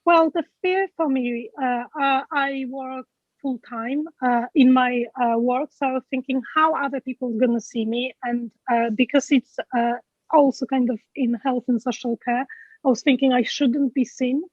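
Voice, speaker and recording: A high-pitched woman with a Polish accent speaks earnestly, pausing often on fillers as she searches for words. Her voice comes through a tinny, phone-like line with a dry, close sound. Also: fairly even intonation, a little mellow, dry recording. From Poland. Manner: earnest, hesitant with many fillers. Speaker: guest speaker. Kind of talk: interview answers.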